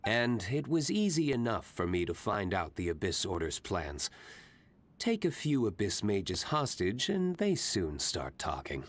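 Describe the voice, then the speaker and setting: An actor playing a cool, self-assured young nobleman vigilante in an anime-style video game, composed, measured and reserved. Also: on the low side, huge pitch swings, full character voice. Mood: serious, matter-of-fact. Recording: background music under the voice.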